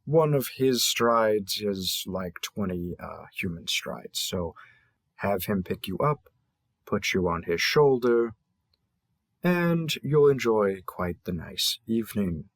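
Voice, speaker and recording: A man's voice: on the low side, expressive, no room sound, studio quality, exaggerated voice. A man playing a cheerful, polite dating-app host in a scripted audio roleplay, with a courteous, presenter-like delivery. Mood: wry, informative. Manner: courteous, measured, salesman-like welcome delivery.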